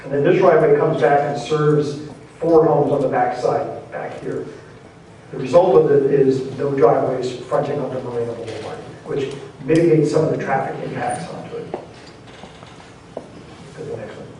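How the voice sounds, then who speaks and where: A man's voice: medium pitch, fairly even intonation, normal speed, mellow. A man presenting a housing development at a public meeting, explaining patiently and often hedging with "kind of". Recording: echoey hall from far off, faint noise.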